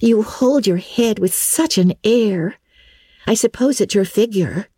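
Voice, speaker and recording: A woman's voice: medium pitch, measured pace, warm tone, breathy edge, dry recording, clean studio sound, pitched above the performer's own voice. A woman voicing a vivacious, bossy and affectionate teenage girl who fusses over her best friend in a storybook reading. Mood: admiring, rueful. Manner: gushing, decisive, affectionate.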